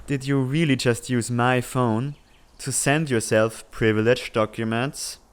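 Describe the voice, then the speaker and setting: Man playing earnest, mid-pitched teenage student Al. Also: steady tone, unhurried, clear, resonant tone, natural voice. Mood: dry, composed. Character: earnest, responsible tech-savvy teenage student Al. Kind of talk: fan dub comic drama acting.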